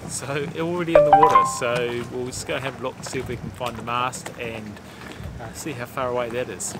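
A man's voice: medium pitch, a little fast, over loud music. A man hosting a sailing vlog, chatting casually outdoors by a seaside harbour. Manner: relaxed, chatty, thinking aloud. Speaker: vlog host. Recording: loud outdoor noise.

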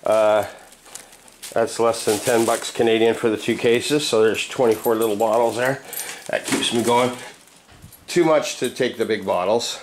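A man in his fifties, chatting casually to camera from his apartment, relaxed and rambling with plenty of "uh" fillers. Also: mid-range voice, slight background noise. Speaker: vlogger. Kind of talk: casual vlog chat. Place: apartment at home, indoors. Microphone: handheld camera mic, close.

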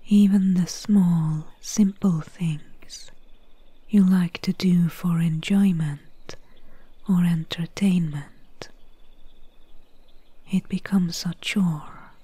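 Woman playing a whispering, very slow swamp witch. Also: fairly low pitch, fairly even intonation, no echo, full character voice. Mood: hushed, knowing. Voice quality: whispery, breathy. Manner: slow, hushed, unhurried. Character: calm, mysterious female swamp witch healer. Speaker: ASMR roleplay performer. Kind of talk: soft-spoken ASMR roleplay. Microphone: close mic.

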